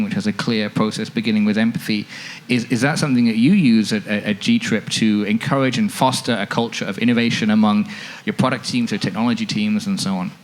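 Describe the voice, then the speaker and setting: A man moderating a panel discussion, asking fluent questions into a handheld mic at an indoor event. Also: fairly low pitch. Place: indoor event stage with audience. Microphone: handheld microphone.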